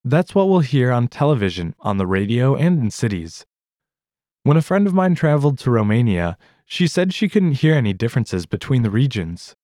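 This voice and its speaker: Lively American man, mellow tone. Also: mid-range voice, normal speed, dry recording, studio quality. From United States. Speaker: podcast host. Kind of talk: scripted language lesson.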